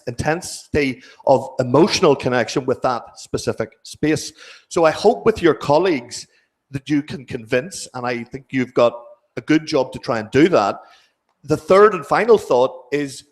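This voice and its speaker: A man offering reflective remarks at a conference panel, his voice at a middling pitch. He speaks in a measured way, now and then cutting off a word and starting it again. The sound comes through a table microphone in a large wood-panelled hall. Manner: measured, thoughtful, occasional clipped restarts. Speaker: panel discussant.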